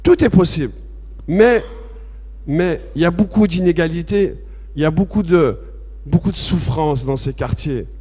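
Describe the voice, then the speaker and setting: A man's voice: fairly high pitch, animated, darkish tone, slightly rough. Impassioned man in a reverberant hall. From France. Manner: halting and repetitive, grows impassioned and emphatic. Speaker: association president speaking at a public debate. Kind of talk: personal testimony at a public debate. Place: debate hall with audience. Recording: long reverb of a large hall.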